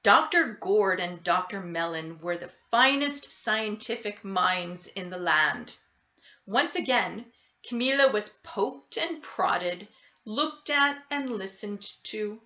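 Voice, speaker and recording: Slow, bright-voiced woman reading a storybook. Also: medium pitch, expressive. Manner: deliberate, dramatic emphasis with character voices. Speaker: storybook reader. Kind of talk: expressive children's picture book read-aloud. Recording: roomy, slightly dull sound.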